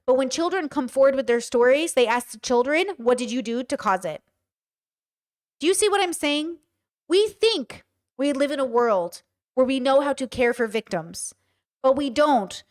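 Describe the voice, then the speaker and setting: A woman's voice: sits high, expressive, moderate pace. A woman in her thirties streaming live, talking candidly to her chat with frequent swearing and rhetorical questions. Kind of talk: livestream commentary, reading chat.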